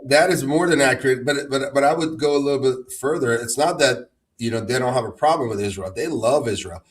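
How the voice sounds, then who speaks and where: A man who grew up in Iran speaks as a talk show guest, relaxed but emphatic, often repeating words as he gets going. His voice sounds a bit distant from the microphone. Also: mid-range voice, fairly quick. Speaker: guest, former congressional candidate. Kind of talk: casual interview talk.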